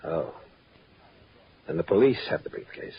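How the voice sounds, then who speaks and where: A man's voice: deep voice, expressive, normal speed. An actor playing a guarded, businesslike married man of means, curt and stiff, in an old radio drama on a muffled, noisy recording. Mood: rueful. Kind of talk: mid-century radio drama acting.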